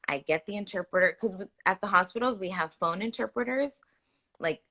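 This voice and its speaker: Bright-voiced earnest young woman nurse. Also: mid-range voice, a little fast. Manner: earnest, animated, frequent "like" fillers and restarts. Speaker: hospital nurse. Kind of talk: conversational interview answers.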